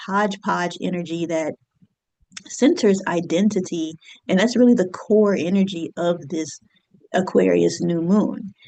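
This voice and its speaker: A woman in her thirties, an astrologer and business coach, talking reflectively to her viewers with easy fillers and soft laughs. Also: on the low side. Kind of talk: casual solo talk to camera.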